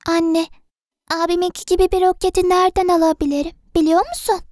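A woman's voice: very high voice, level tone, quick pace, darkish tone, no room sound, clean studio sound. A woman voicing a sweet, worried little girl, childlike and pleading, in a children's cartoon dub. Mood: curious. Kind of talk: dubbed children's cartoon acting.

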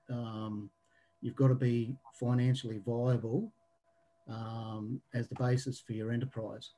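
Halting, mellow-voiced Australian man on a video call. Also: mid-range voice, muffled recording. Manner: halting, many ums, trailing off quietly. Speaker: webinar participant. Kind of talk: reporting back from group discussion. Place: living room at home. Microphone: video call webcam.

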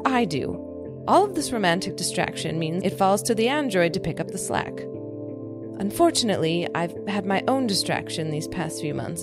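An actress playing a weary, exasperated android nun giving a broadcast in a dry, articulate, wry manner in an audio drama. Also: female voice, mid-range voice, natural voice. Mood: put-upon. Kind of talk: scripted audio drama monologue.

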